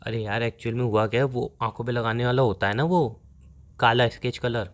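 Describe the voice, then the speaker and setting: A man's voice: medium pitch, brisk, natural voice. A demanding young boyfriend, acted out by a man in a phone-call skit. He talks conversationally, pressing and testing with teasing insistence. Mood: coaxing. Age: young adult. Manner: insistent, badgering.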